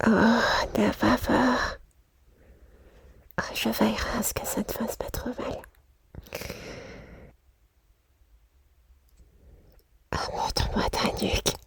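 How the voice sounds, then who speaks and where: Man as a young vampire aristocrat, ASMR roleplay, hushed whispery delivery, formal and hesitant, predatory coaxing, little pitch movement. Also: level tone, measured pace, full character voice. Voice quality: soft, breathy whispering.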